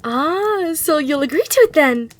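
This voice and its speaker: A woman's voice: high voice, animated, unhurried. A woman voicing a sly, courteous female demon hotel receptionist in an ASMR roleplay, with reassuring customer-service patter. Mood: reassuring, coaxing.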